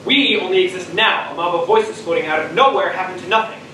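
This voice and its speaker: A young male actor as a mean middle-aged astronaut, bitter and taunting in a high voice. The theatrical delivery rings through a very echoey space, picked up from far off. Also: quick pace, bright, forceful tone. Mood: bitter, scornful. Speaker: student actor. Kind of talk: theatrical stage acting. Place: stage of a school theater. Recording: echoey stage, distant mic, faint noise.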